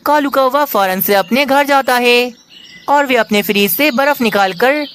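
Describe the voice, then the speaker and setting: A woman's voice: fairly high pitch, moderate pace, a bit bright, slight background noise. A woman reading scripted narration for a children's cartoon story.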